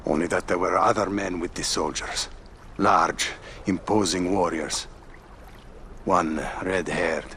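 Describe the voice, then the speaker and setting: A man's voice: low-pitched, fairly even intonation, takes it slowly, a bit bright, a little hiss or hum, heavily acted. A man playing a grieving, bitter father in a video game drama, sombre and heavy-hearted. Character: grieving father, sorrowful, bitter, devoted friend.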